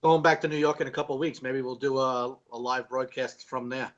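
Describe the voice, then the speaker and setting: A man's voice: medium pitch, fairly even intonation, brisk, a bit bright. A man co-hosting a casual car chat, giving brief replies. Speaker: co-host. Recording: dull lo-fi sound.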